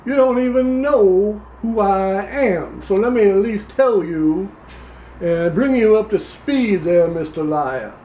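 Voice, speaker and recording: Man doing a slow, high-voiced, folksy Louisiana blowhard politician, echoey room. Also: dark tone, lo-fi sound, slight background noise. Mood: pompous. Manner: pompous, folksy, rambling. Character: blustering male Louisiana politician running for governor. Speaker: comedy performer. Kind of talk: improvised comedy sketch. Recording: roomy echo.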